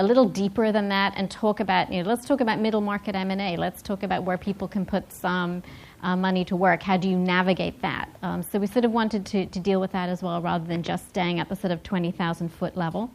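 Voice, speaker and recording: A woman's voice: mid-range voice, steady tone, a little fast, vocal fry. A woman moderating a business panel, upbeat and conversational. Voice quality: upbeat and conversational, tag questions. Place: conference hall.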